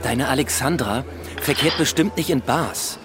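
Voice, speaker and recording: An actor playing an evasive, grumbling police detective in a radio drama, casual and dismissive. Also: male voice, mid-range voice, normal speed, music in the background, close to an everyday voice.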